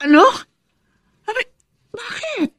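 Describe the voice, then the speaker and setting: A Filipino actress playing a concerned, conciliatory mother of grown children, gently chiding in a radio drama. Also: very high-pitched, very animated, slow pace, full character voice. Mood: worried.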